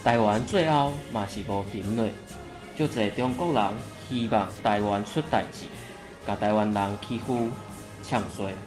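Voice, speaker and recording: A man's voice: on the low side, unhurried, over music, natural voice. A man playing a watchful deity who narrates a folk legend in a scripted storytelling style. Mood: storytelling, assured.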